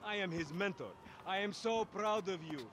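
A man's voice: high-pitched, moderate pace, natural voice. Against street and car noise, a slick middle-aged boss voiced by a man schemes away in a video game. He wheedles theatrically, like a salesman working his mark. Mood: gushing, oily. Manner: wheedling, theatrical, peppered with "huh?". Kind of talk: video game character acting.

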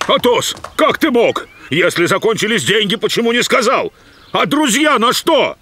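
A man voicing a big, blustery, loyal dog musketeer in a dubbed cartoon, exclamatory and booming. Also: very animated, normal speed, sharp tone, slight background noise, exaggerated voice. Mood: reproachful. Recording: cartoon soundtrack with music underneath.

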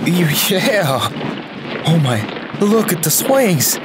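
An actor as a spooked horror-drama witness, stammering, slow and drawn-out, loud outdoor ambience. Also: medium pitch, very animated. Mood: awed fear. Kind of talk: audio drama acting. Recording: noisy ambient background.

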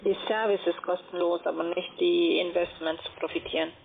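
A woman's voice: on the low side, fairly even intonation, normal speed, gravelly. A woman making scripted phone sales calls as a call centre agent. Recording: noisy, tinny phone line. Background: background noise on the line.

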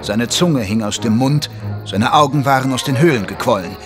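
Guy acting as victorian doctor narrating a detective radio play, measured and literary, music behind him. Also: medium pitch, natural voice. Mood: measured storytelling. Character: Victorian gentleman doctor recounting his detective friend's case. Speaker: narrator of an audio drama. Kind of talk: scripted audio drama narration. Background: music under the voice.

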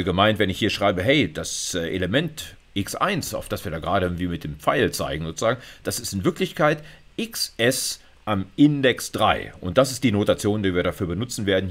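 Low-voiced, animated middle-aged male lecturer. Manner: conversational, frequent check-in tags like "okay" and "ja". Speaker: university lecturer. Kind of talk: informal explanatory lecture over slides.

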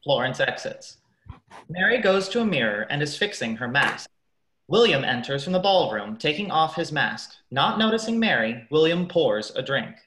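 A man's voice: on the high side, big pitch swings, moderate pace, fairly bright tone, a little hiss or hum. A young man reading stage directions as the narrator of a radio play, in scripted narration. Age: young adult. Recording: echoey room.

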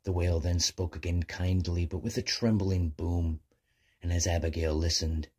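A man narrating a rhyming children's bedtime story in measured, sing-song verse. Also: deep voice, level tone, a little mellow, breathy edge.

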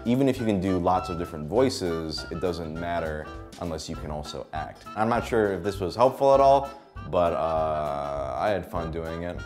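A young man who works as a voice actor, talking to camera about his craft in a relaxed, animated way and breaking into voices mid-sentence. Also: sits low, normal speed, faint music behind. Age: young adult. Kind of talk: explaining voice acting to camera. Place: indoor studio with plain backdrop.

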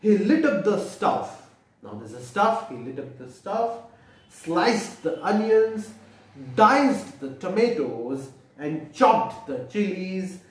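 Children's storyteller: Indian-accented man, unhurried, very animated intonation, recorded in an echoey room away from the mic. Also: high voice. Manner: expressive, repeats and explains for young listeners. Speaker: storyteller reading a picture book aloud. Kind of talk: children's read-aloud with explanations and questions. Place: small room indoors, seated at a table. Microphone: camera mic from a few feet away. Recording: echoey room, distant microphone.

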